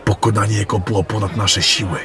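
A man playing a secretive, scholarly adept of magic in a fantasy audio drama, speaking in a formal, measured, courteous way. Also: lowish voice, fairly even intonation, a bit bright. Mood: explaining. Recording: music and crowd murmur behind.

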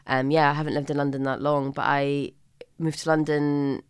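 A woman's voice: low-pitched, flat delivery, normal speed, clear, resonant tone, no echo, studio quality. A woman in her twenties with a mixed Northern Irish and Scottish accent, chatting informally and self-deprecatingly as an interview guest. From Northern Ireland. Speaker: interview guest, fashion stylist and presenter. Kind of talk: casual conversational interview.

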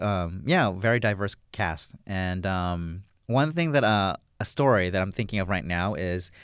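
A man's voice: deep voice, clean tone, dry recording, clean studio sound. A man, a film director, talking through an interview in a rambling, enthusiastic way with many fillers. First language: English. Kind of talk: casual interview answers.

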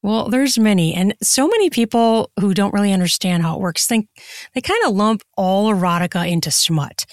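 A woman's voice: mid-range voice, lively intonation, moderate pace, dry recording, clean studio sound. A woman chatting casually as an interview guest about her own work. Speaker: podcast guest, erotica author.

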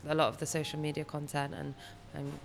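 A woman's voice: sits low, monotone, fast, creaky, faint music behind. An English woman in her late twenties chatting casually as an interview guest, in a chatty, self-deprecating way. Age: late 20s. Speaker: podcast guest who runs a cafe and helps run a gym. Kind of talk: casual chat. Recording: faint background noise.

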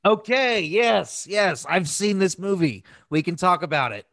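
Male co-host, 30s, lightly higher voice, casual comedic banter, dry recording. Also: animated, a little slow, fairly bright tone, dry recording. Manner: joking, interjecting reactions. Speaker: podcast co-host.

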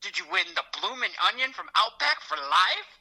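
A man's voice: high-pitched, very animated, normal speed, vocal fry, sharp tone, heavily acted. A man voicing a cheery, over-the-top Australian caller with a put-on Australian accent and lots of slang. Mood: jokey. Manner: hammy, slang-heavy. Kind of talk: comic phone-in bit.

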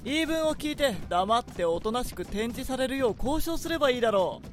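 A woman acting a gruff, reluctant, cool half-spirit man in a radio drama, speaking curtly and dryly. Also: on the high side, animated, a little fast, a little hiss or hum, close to an everyday voice. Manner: curt, dry, masculine phrasing. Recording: music behind the voice.

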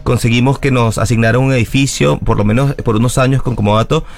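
A guest from Venezuela, speaking earnestly in long explanatory runs with small restarts during a radio interview. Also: male voice, medium pitch, steady tone, fast. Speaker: guest, academic secretary of a school. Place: radio studio.

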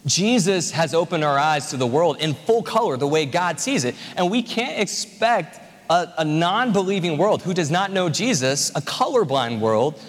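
A man's voice: fairly high pitch, expressive, moderate pace. Young male preacher in a reverberant hall. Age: 20s. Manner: conversational, joking, emphatic. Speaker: pastor. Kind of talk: sermon.

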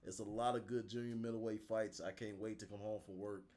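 A man's voice: lowish voice, steady tone, fairly quick, a little mellow. A young man co-hosting a casual podcast, talkative and brash. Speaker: podcast co-host.

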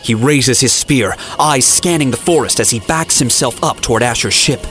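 A man's voice: mid-range voice, normal speed, a bit bright. A man reading scripted, dramatic story narration for an audio drama. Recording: music playing behind the voice.

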